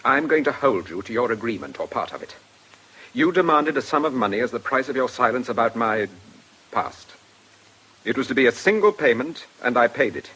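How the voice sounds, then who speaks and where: An actor playing a guarded, quietly defiant older man in a radio drama, speaking in a clipped, formal way. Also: mid-range voice, animated, fairly quick, husky, low-quality recording. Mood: firm defiance. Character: guarded, stern older male blackmail victim, quietly defiant.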